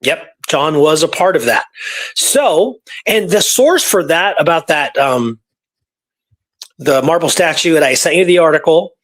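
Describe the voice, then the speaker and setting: A man's voice: on the high side, animated, unhurried, a bit bright. A man talking casually as a history researcher, sharing findings in an informal storytelling manner. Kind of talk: casual conversational history discussion.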